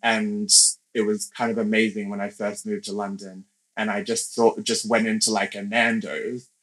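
A young podcast guest from Australia speaks in a low, level voice. He talks thoughtfully and casually, pausing often and filling gaps with "like" and "I guess". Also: level tone, poor audio. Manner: reflective, soft-spoken, many fillers. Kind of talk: casual interview talk.

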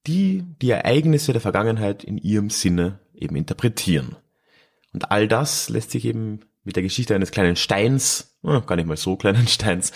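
Very low-voiced chatty podcast host. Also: male voice. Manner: chatty, chuckling asides, hesitant fillers. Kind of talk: casual solo podcast narration.

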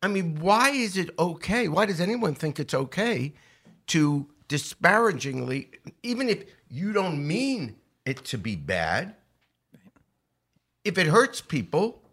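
A man's voice: a little high, very animated, measured pace, fairly bright tone, breathy edge. An American man giving conversational opinion commentary, earnest and emphatic, posing rhetorical questions. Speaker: talk show host.

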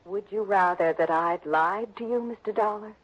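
An actress playing a defiant, headstrong young adopted heiress in a 1960s radio drama. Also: female voice, mid-range voice, moderate pace, loud music behind the voice, lightly acted. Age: young adult. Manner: headstrong, defensive. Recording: dull lo-fi old radio broadcast.

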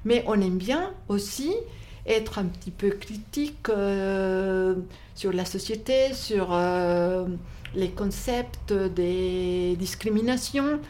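A woman's voice: mid-range voice, slow pace, mellow, a little hiss or hum. A woman bookseller, not a native French speaker, talking about books in an interview, hesitant with many fillers and restarts. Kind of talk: interview conversation.

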